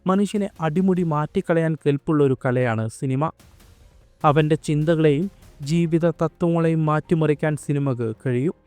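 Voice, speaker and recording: A man from narrates a scripted video essay in a measured, expository manner. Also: fairly high pitch, a little mellow, no room sound. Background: faint background music.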